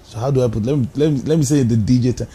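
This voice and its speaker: A man's voice: medium pitch, rapid-fire, warm tone, a little hiss or hum. A man who works as a DJ, a guest on a talk show, talking earnestly and restarting often. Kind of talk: casual interview talk. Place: TV studio.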